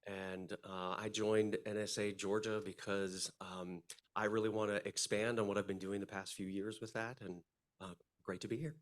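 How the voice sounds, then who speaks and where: A man giving an earnest, calm self-introduction to a group as a musician and new member. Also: on the low side, moderate pace.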